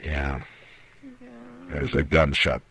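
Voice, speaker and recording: An actor playing a grave, steady Old West lawman in an old radio western, speaking in measured, terse phrases with hesitant pauses. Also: heavily acted. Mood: concerned. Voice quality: very deep voice. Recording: old radio drama recording.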